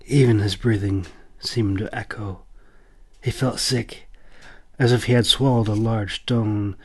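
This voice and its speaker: Slow, dramatic male fantasy storyteller. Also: lowish voice, a little mellow. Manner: solemn, theatrical, swells on action and drops for somber passages. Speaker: audiobook narrator, author reading own book.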